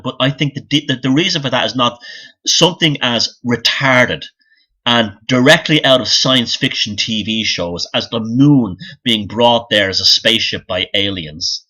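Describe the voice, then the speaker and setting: Bright-voiced man in an opinionated monologue. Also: medium pitch, low-quality recording. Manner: blunt, sweary, scornful. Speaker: YouTube commentator and author.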